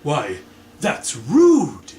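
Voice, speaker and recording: A man's voice: fairly high pitch, huge pitch swings, drawn-out words, mellow, exaggerated voice. A man voicing a plump, prim society lady, fussy and easily outraged, in a storybook reading. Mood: prim outrage. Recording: echoey room, faint background noise.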